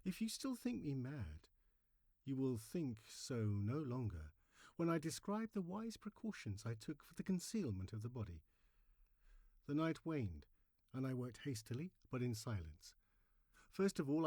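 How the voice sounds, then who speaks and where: Man playing a theatrical, wildly expressive nervous madman. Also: medium pitch, dry recording, clean studio sound. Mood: gleeful, cunning. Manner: theatrical, halting phrases with emphatic repetition. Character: nervous, gleefully cunning madman confessing a murder. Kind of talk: dramatic horror audiobook reading.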